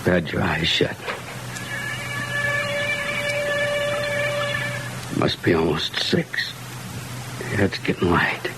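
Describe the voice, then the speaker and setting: Haunted husband, lowish voice, breathy halting phrases, uneasy, vintage radio horror, clearly noisy recording. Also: male voice, steady tone. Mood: weary, subdued. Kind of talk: old-time radio horror acting.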